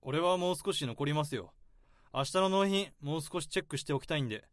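An actor playing a calm, understated, overworked male office worker in a radio drama who also speaks his inner monologue. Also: on the high side, fairly quick, dry recording, clean studio sound, close to an everyday voice.

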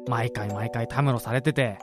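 An actor playing a grumbly but fond, excitable office worker among friends in a Japanese radio drama. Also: male voice, medium pitch, moderate pace, over music, natural voice. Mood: reluctant, annoyed.

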